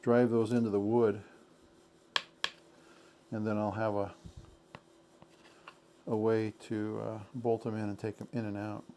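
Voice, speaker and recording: A man talking through a do-it-yourself boat project as he works, thinking aloud in halting, self-correcting bits. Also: lowish voice, flat delivery, warm tone, a little hiss or hum. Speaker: boat owner doing a DIY project. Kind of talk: casual DIY narration while working.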